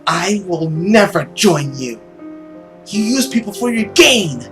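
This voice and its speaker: A principled, cursed heroine of a visual novel, played by a man, stern and earnest. The voice is lifted in pitch and moves expressively with reproach, over clearly audible music. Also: lively intonation, slow pace, fairly bright tone, full character voice. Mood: defiant. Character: stern, principled woman under a feeding curse.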